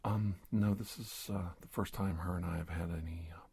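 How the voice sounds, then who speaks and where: A man playing a cautious, polite government investigator in a soft-spoken ASMR roleplay, speaking haltingly and often dropping to a whisper. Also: low voice, fairly even intonation, gravelly, breathy edge, exaggerated voice. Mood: calm, courteous. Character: cautious, polite government investigator doctor confronting a disguised creature. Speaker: ASMR performer.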